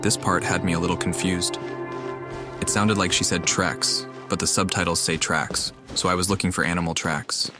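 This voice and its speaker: A man giving casual, low-key, matter-of-fact gameplay commentary as the host of a gaming video. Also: steady tone, moderate pace. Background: game music.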